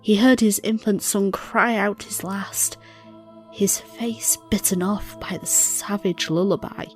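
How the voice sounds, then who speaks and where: A woman narrating a dark tale in a solemn, measured, theatrical storytelling style. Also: medium pitch, fairly even intonation. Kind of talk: dramatic scripted story narration. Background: instrumental music.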